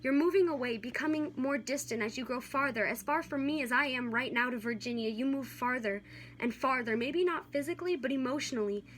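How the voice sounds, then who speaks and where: A young woman with a high-pitched voice recites slam poetry. Her delivery is earnest and dramatic, carried by a steady spoken-word rhythm. It is an amateur recording with a little noise behind her. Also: a little fast.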